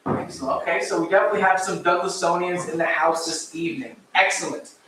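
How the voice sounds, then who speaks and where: A man giving an informal history talk to a live audience, speaking loudly and conversationally and asking the room questions. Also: high-pitched, steady tone, fast talker. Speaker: guest speaker and local historian. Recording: very echoey room, distant mic.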